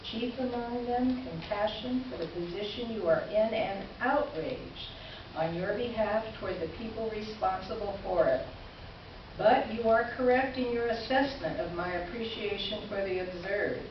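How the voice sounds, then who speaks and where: Actress as ironic woman columnist, formal measured delivery, stage letter reading, very echoey hall sound, far from the mic. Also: medium pitch, lightly acted. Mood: amused, apologetic. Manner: formal, measured, pointed irony. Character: wry, articulate woman columnist, politely indignant letter writer. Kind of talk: staged reader's theater, reading letters aloud. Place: indoor live stage presentation. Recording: echoey room, distant mic, muffled, noisy.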